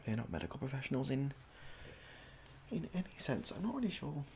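Young male, ASMR medical roleplay. Soft-spoken, hesitant, awkwardly courteous, with vocal fry and varied intonation. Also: medium pitch, big pitch swings, a little hiss or hum. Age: young adult. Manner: halting, hesitant, awkwardly polite. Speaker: ASMR performer playing a medical examiner. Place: small domestic room indoors.

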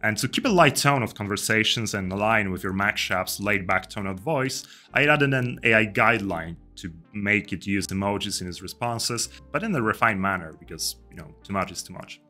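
A young man giving a casual step-by-step software tutorial, speaking into a close desk microphone indoors. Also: lowish voice, normal speed, hardly any pauses. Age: young adult. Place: indoor room. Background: music.

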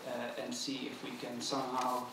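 A man's voice: medium pitch, completely flat delivery, normal speed, heavy background noise. A man in his thirties with a Dutch accent, answering a lecture as a curator in thoughtful, hesitant academic talk with many fillers. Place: large museum auditorium. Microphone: stand mic at table. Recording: very echoey hall, mic from a distance.

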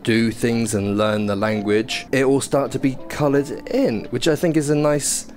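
A man's voice: medium pitch, steady tone, normal speed, darkish tone. A young man on a headset mic giving casual, self-deprecating commentary while trying a VR game, reading prompts aloud. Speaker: gaming YouTuber. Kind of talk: first-impressions gameplay commentary. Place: small indoor room playing VR. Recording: game music behind.

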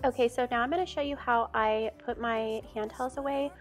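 A woman's voice: on the high side, fairly even intonation, brisk, loud music behind the voice. A woman in her thirties chatting casually as she explains a home sewing and cleaning routine step by step. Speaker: home vlogger. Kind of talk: casual tutorial voice-over.

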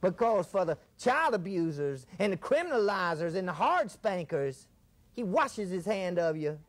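A man's voice: high-pitched, very animated, moderate pace. A man preaching a fiery televised sermon, dramatic and repetitive, coining odd words.

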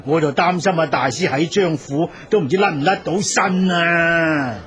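An actor playing a worried, fussy older village uncle, exclaiming fretfully in a radio drama. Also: male voice, fairly high pitch, normal speed, natural voice. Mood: alarmed, worried. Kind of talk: Cantonese radio drama acting. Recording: crowd murmur behind.

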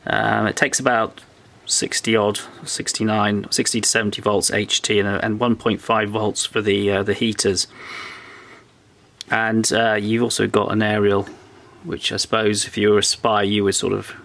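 A man talking through a piece of old electronics at his workbench, explaining each part step by step as he thinks aloud in a relaxed way. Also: fairly low pitch, moderate pace, creaky. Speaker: electronics restorer. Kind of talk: hands-on show-and-tell. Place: workbench indoors.

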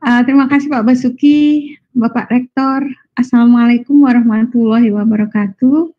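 A woman's voice: a little high, steady tone, moderate pace. A woman with an Indonesian accent giving an informal, somewhat hesitant lecture over a video call. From Malang, Indonesia. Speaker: guest lecturer, university professor. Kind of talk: informal, improvised online lecture. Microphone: video call microphone. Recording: slightly dull video call audio.

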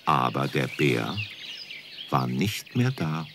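A man's voice: extremely low pitch, sing-song, slow pace, a bit bright, soft background music. A man narrating a scripted children's story as storyteller.

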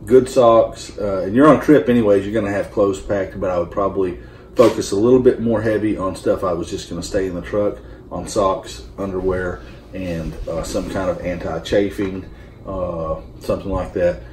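A man in his thirties, a guest in a home kitchen, calmly talking through survival gear in a practical way with many fillers. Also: low voice, normal speed. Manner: steady, practical, hesitant with fillers. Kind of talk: casual explanatory chat. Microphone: far camera. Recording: roomy kitchen sound from a distant camera, faint hum.

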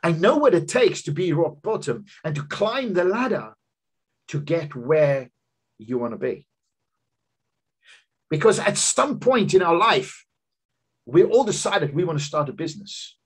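A man in his fifties delivers a business seminar over a webcam, telling his own story in an earnest, emphatic way. His voice is slightly husky and sits a little high for a man. His pitch swings widely as he pushes his points. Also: big pitch swings, unhurried, long pauses. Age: 50s. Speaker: business coach giving a seminar talk. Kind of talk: seminar presentation over slides. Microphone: video call webcam.

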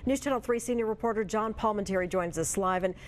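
A middle-aged American woman anchors a TV newscast. Her voice sits at a medium pitch, and she reads scripted news in a studio. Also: fast talker.

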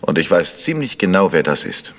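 Ein Schauspieler, der in einem Krimihörspiel einen selbstsicheren, bohrend fragenden Amateurdetektiv spielt. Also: male voice, deep voice, very animated, moderate pace, fairly bright tone, long reverb tail, full character voice. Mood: confident. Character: probing, self-assured male amateur detective. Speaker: amateur detective. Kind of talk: vintage German radio crime drama acting.

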